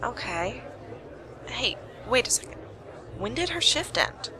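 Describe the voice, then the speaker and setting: A woman's voice: on the high side, very animated, a little slow, a crowd in the background, noisy background. A woman playing a wry, weary young private detective in an amateur radio play, sardonic and businesslike. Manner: sardonic, exasperated sighs.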